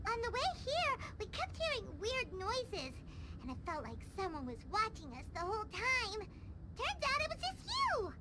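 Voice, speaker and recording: A tiny, excitable game fairy with an extremely high, squeaky child's voice. Her chatter is naive and exclamatory, swooping up and down. Loud game sound sits behind her. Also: moderate pace, heavy background noise, heavily acted. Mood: relieved, scolding. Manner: refers to self in third person, exclamatory. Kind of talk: video game cutscene acting.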